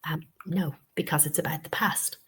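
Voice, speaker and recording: Sniffly woman tutor with a lowish voice. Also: steady tone, normal speed. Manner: patient, repeats key points, many "okay"s and "um"s. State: sniffly from a cold. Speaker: TEFL course tutor. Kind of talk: webinar instruction.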